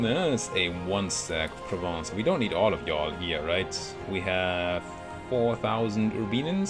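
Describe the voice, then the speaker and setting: A man giving casual let's play commentary on a strategy game, thinking aloud and muttering to himself as he goes. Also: on the low side. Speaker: video game streamer playing a strategy game.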